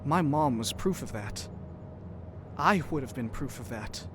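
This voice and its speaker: An actor playing an earnest, compassionate doctor in a science-fiction audio drama. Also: male voice, medium pitch, animated, measured pace, background noise, lightly acted. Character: earnest grieving male doctor, cautious and compassionate sci-fi traveller.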